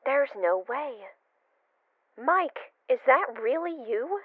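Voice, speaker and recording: Phone-line role: actress as an anxious elderly grandmother, high swooping voice, audio drama. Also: sing-song, slow, dry recording, exaggerated voice. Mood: astonished, worried. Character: anxious, loving old grandmother. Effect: telephone filter. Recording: thin band-limited telephone sound.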